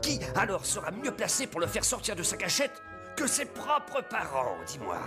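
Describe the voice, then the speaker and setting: Lively, scheming gentleman. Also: high-pitched, moderate pace, music in the background. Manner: formal, courteous, persuasive. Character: scheming, smooth-talking gentleman explorer. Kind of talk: cartoon dub acting.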